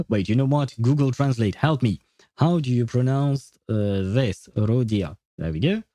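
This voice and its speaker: A man's voice: mid-range voice, expressive, a little slow, dark tone. A man streamer chatting casually with viewers in a rambling, hesitant way. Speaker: livestreamer. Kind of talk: casual livestream chat, replying to viewers.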